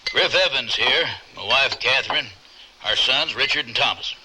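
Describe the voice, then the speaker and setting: A man's voice: medium pitch, moderate pace, lightly acted. An actor playing a blunt, folksy frontier rancher and family man in an old-time radio drama. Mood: gruffly hospitable. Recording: slightly noisy old radio recording.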